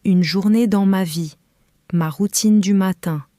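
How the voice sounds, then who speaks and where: A woman reading a simple story aloud for beginner learners, calm and careful with clearly spaced phrases. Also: mid-range voice, a little slow, no room sound, clean studio sound. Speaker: French reader for learners. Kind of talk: slow scripted reading for beginners.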